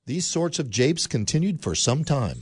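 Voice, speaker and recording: A man narrating a radio drama in a measured storytelling manner with dry wit. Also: on the high side, very animated, slight background noise.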